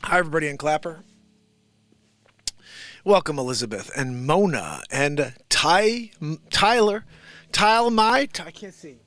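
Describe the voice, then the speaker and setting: A man's voice: a little high, lively intonation, a little slow, pauses often, a bit bright, no room sound. A man casually chatting to a live-stream audience as singer and host, with an informal, breezy, self-deprecating manner. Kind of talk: casual chat to a live audience between songs. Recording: live guitar music in the recording. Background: guitar playing.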